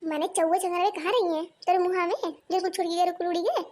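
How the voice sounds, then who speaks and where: Super high squeaky cartoon village wife, awadhi accent, always moaning and telling everyone off. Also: female voice, steady tone, quick pace, a little mellow. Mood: exasperated complaining. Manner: wailing, complaining, exclamatory. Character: loud, fretful, scolding village wife and mother. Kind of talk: village comedy cartoon dialogue.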